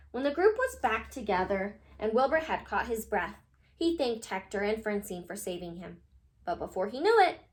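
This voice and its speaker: A woman narrating a children's picture book as an expressive read-aloud storyteller. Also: medium pitch.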